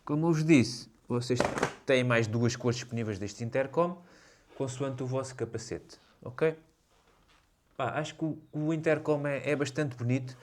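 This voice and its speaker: A man's voice: mid-range voice, normal speed. A man with a European Portuguese accent talks casually and explains things conversationally, as a motorcycle vlogger reviewing gear to camera.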